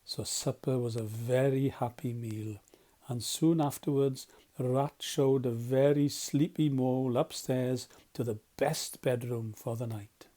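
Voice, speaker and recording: Man, storybook narration, medium pitch, relaxed mellow timbre, children's storyteller. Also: unhurried. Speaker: volunteer storyteller.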